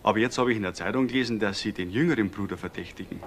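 A man playing a talkative bassist and reluctant witness in a crime radio drama, colloquial. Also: very fast, slight background noise, lightly acted. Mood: eager. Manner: colloquial, chatty, circumspect.

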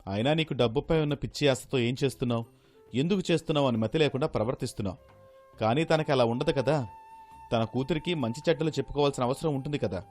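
A man's voice: medium pitch, a little mellow, natural voice. A man playing a reproachful older husband in a story, lecturing and fed up. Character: scolding older husband, fed up with greedy wife.

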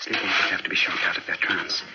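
A man's voice: low voice, flat delivery, quick pace, muffled recording, very noisy, close to an everyday voice. A man acting in a 1940s radio drama as a level-headed man who tells a ghostly tale. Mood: tense, grave. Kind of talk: 1940s radio acting, in dialogue and first-person narration.

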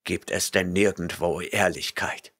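A man's voice: deep voice, steady tone, unhurried, fairly bright tone. A man voicing a burly barbarian warrior who growls and mutters his questions, impatient and menacing, in an audiobook reading. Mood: gruff.

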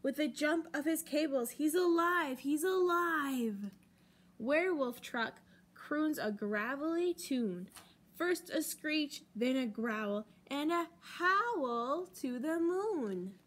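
A young American woman reading a picture book aloud to children as a storytime host, with deliberate, dramatic emphasis on key words. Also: high-pitched, lively intonation, a bit bright. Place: library children's room, indoors.

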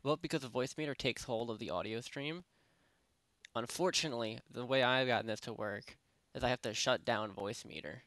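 A young man casually talking through a software tutorial at his home computer, rambling with lots of fillers and restarts. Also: mid-range voice, a little fast, dry recording. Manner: rambling, many fillers and self-corrections. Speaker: tutorial host. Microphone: desktop microphone at a PC.

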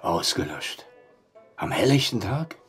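An actor playing a gruff, sceptical war-veteran barkeeper in an audio drama, blunt, down-to-earth and husky. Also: male voice, sits low, sing-song, unhurried, fairly bright tone, faint music behind, heavily acted.

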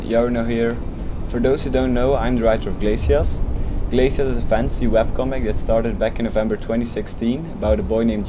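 Man reading a friendly pitch. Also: background noise. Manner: friendly, steady read. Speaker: webcomic writer. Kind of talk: scripted promotional voice-over.

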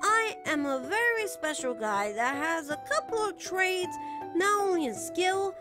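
A woman's voice: very high voice, lively intonation, over music. A woman voicing a playful, teasing, fondly protective young bard boy in a giggly ASMR character roleplay. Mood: protective, firm. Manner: teasing, giggly, drawn-out phrases. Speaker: voice actor.

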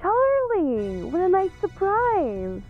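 High, reverberant eerie young woman impostor voiced by an actress. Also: huge pitch swings, slow pace, dull audio, heavily acted. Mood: sugary menace. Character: sweetly sinister young woman, robotic impostor. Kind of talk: comic dub voice acting. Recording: long reverb, faint music behind.

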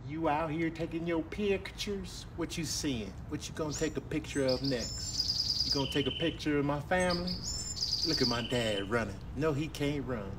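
A man's voice: on the high side, moderate pace, heavy background noise, pitched below the performer's own voice, natural voice. A man voicing a suspicious, needling young lion cub in a comedy dub, muttering challenging questions. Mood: sullen, challenging.

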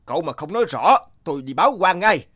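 A man voicing a greedy, sneering, boastful rich neighbour in an animated fairy tale. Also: on the high side, quick pace. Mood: accusing, scornful. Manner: boastful, exclamatory, scheming.

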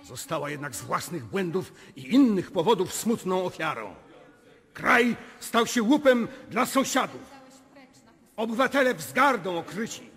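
High-voiced stern parliamentary speaker, reverberant. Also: male voice, animated, unhurried, fairly bright tone, husky, heavily acted. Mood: solemn. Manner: declamatory, solemn. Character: stern, commanding presiding officer of historical parliament. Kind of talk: theatrical radio drama oratory. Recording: long hall reverb.

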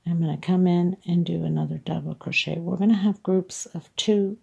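A middle-aged woman with a congested, stuffed-up voice hosts a chatty crochet tutorial at home and thanks her viewers warmly. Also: on the low side, steady tone, normal speed, dull audio. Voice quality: husky, gravelly voice. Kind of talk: casual step-by-step craft tutorial. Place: at home, table with yarn.

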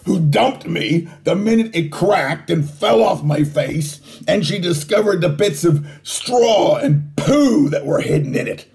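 A grumpy, suspicious storybook boy, put on by a man, grunts his sarcastic complaints. The voice is mellow and unhurried, swinging freely in pitch. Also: expressive, gravelly, heavily acted. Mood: indignant.

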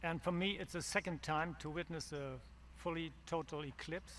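A man's voice: a little high, moderate pace, fairly bright tone. A man in his fifties with a German accent, giving a statement as a guest at an event, speaking English as a second language. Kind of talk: on-camera interview statement. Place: open farm field outdoors. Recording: outdoor ambient noise.

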